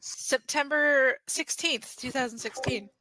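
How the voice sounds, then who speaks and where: A woman's voice: sits high, a little slow, bright, forceful tone, no echo, rough recording. A woman voicing a playful, pleading, dramatic young man in a fan-made audio drama. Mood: playful, nervous. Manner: teasing, dramatic.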